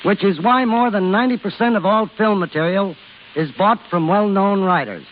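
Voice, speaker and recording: High-voiced man reading formally, old radio. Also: moderate pace. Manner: formal read delivery. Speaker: guest speaker, film studio executive. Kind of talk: scripted 1930s radio talk. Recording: muffled, hissy old radio recording.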